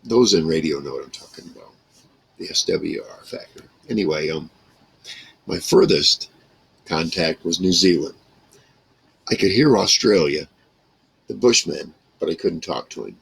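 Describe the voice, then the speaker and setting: Older guy in his 60s, super low raspy voice, kinda sharp edge, rambling in broken bits. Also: animated, normal speed, long pauses, poor audio. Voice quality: gravelly, rough. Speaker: vlogger reminiscing about a radio hobby. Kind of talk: casual rambling monologue to camera. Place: small wood-paneled room indoors.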